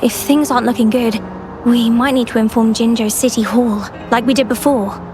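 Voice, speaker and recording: A woman's voice: on the high side, steady tone, moderate pace, noisy background, close to an everyday voice. A woman voicing a polite, conscientious young field researcher in a video game, earnest and slightly hesitant. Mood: concerned, explaining. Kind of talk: video game character dialogue.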